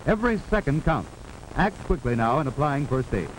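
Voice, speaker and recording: An American man narrating a 1940s instructional film in a formal, authoritative, measured way, on an old film soundtrack. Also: fairly high pitch, sing-song, slight background noise, low-quality recording. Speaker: narrator of a wartime civil defense instructional film.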